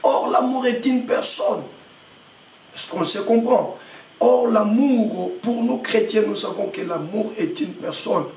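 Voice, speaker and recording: A male pastor with a head cold gives a sermon, halting often and repeating words, and puts rhetorical questions to his listeners. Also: sits high, darkish tone. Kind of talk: prayer-meeting sermon. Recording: echoey room, mic at a distance, faint background noise.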